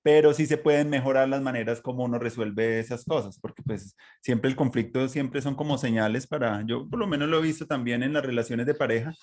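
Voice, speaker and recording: A man's voice: mid-range voice, fairly quick, few pauses, darkish tone. Colombian man hosting a conversational podcast. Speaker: podcast host. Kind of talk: conversational interview, reflective commentary.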